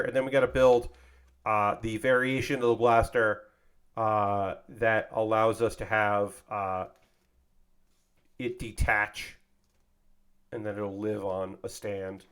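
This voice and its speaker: A man's voice: medium pitch, fairly bright tone. A man casually chatting on a livestream while building a model kit, loose and profane, tired with a slightly worn voice. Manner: loose and profane, lots of fillers.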